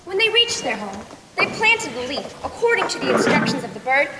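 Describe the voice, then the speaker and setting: A woman's voice: high voice, sing-song, fairly quick. A young teenage girl playing a female storyteller to a king in a school stage play, declaiming her tale. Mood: storytelling. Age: young teen. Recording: echoey hall from far off, loud noise.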